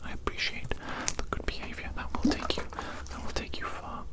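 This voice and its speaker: A performer voicing a courteous but menacing evil doctor in a whispered, unhurried ASMR roleplay. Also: natural voice. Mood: soothing, menacing. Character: polite, sinister evil mad doctor captor.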